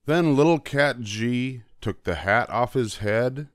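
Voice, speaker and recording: An older man reading a rhyming children's story aloud as an expressive narrator. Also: mid-range voice, slow, no room sound, studio quality. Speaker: storybook reader.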